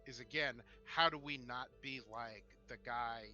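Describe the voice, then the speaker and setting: A man co-hosting a casual discussion, talking in a halting, stop-start, self-deprecating way as he tells anecdotes. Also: mid-range voice, bright, forceful tone. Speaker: podcast co-host. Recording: dull narrow-band mic, music underneath.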